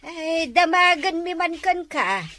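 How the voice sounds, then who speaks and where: A woman's voice: very high voice, animated, slow pace, pushed and bright. A woman acting in a comic village drama, inquisitive and drawing out her words. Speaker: comedy drama actor.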